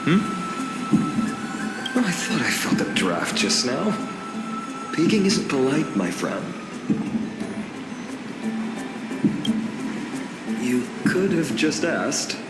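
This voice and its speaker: Affable young game hero, lively, echoey. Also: male voice, medium pitch, takes it slowly, pauses often, loud music behind the voice. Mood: teasing, friendly. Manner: chatty, teasing, self-correcting. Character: affable young man, playful, bookish, modest aspiring leader. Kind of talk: video game character acting.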